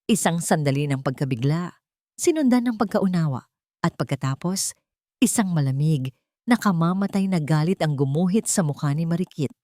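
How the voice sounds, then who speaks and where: A woman's voice: sits low, lively intonation, moderate pace, mellow, no echo, studio quality. A woman storyteller reading a scripted love drama in a dramatic narration style.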